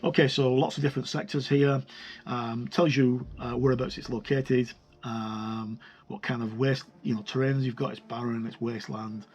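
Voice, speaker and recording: A man's voice: medium pitch, little pitch movement, normal speed, darkish tone, slightly rough, muffled recording. A man giving a casual, unscripted review as he leafs through a book, hesitantly listing items aloud. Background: music.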